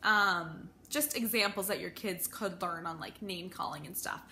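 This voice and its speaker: A woman's voice: fairly low pitch, moderate pace, bright, forceful tone, slight background noise. A woman in her thirties talking informally in a casual, chatty review vlog, working through her opinions conversationally with some hesitation. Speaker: homeschooling parent vlogger.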